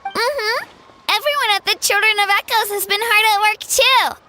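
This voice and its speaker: High-voiced, earnest young girl. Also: moderate pace, heavy background noise. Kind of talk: animated fantasy game acting.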